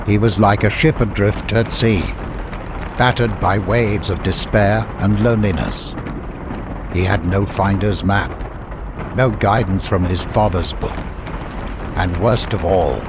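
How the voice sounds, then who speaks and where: A man's voice: lowish voice, noisy background. A man giving a dramatic scripted narration of an adventure story as an audiobook narrator.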